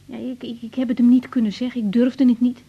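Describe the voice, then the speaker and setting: An actress playing a blunt, impulsive young woman doctor in a naturalistic radio drama, halting when she confides. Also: on the high side, flat delivery, husky, phone-quality sound. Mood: confiding, earnest. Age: young adult. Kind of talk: naturalistic 1980s Dutch radio drama dialogue.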